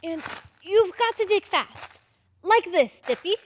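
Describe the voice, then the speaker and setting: Woman playing an urgent, very high-voiced little girl. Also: animated, unhurried, slight background noise. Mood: determined, narrating. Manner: urgent, pleading, emphatic. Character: earnest, insistent ten-year-old girl, narrator and heroine. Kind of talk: old-time radio drama acting with narration.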